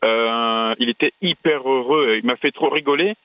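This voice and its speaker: A man from Brittany, a traveller being interviewed on radio, telling anecdotes in an animated, searching way with small restarts. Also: mid-range voice, normal speed, no room sound, lo-fi sound. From Brittany, France.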